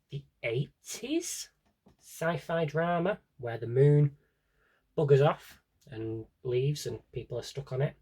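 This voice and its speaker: A man talks casually to camera as he shows off a collection. His voice sits at a middling pitch with a slightly husky, rough edge and swings up and down in a lively way. He is chatty and enthusiastic, often muttering asides to himself. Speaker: video host showing a personal CD collection. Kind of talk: casual rambling show-and-tell chat.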